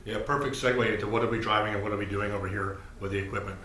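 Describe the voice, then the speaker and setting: A man's voice: on the low side, fairly even intonation, racing, sharp tone. A man hosting a video and interviewing in a conversational style. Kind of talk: conversational audio show interview. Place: indoor demo room at an audio show. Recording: echoey room with faint noise.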